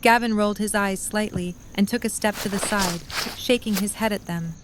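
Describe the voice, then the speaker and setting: A woman's voice: medium pitch, moderate pace, noisy background. A woman reading scripted story narration as the narrator of an audio drama.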